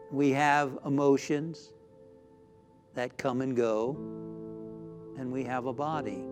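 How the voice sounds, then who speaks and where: Male meditation leader, mid-pitched, slow and deliberate spiritual delivery with soothing pauses, clear musical bed. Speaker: minister leading a guided meditation. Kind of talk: guided meditation, spiritual talk. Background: background music.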